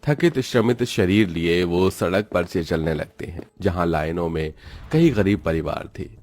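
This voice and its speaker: Deep-voiced man narrating a story. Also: a little slow, a little mellow, no echo. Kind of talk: scripted dramatic narration.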